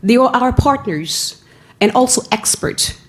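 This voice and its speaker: A woman from Haiti with a Haitian accent, giving an earnest presentation at a school board meeting, often pausing and restarting her phrases. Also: on the high side, expressive. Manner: earnest, with frequent pauses and restarts. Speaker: school family engagement staff member.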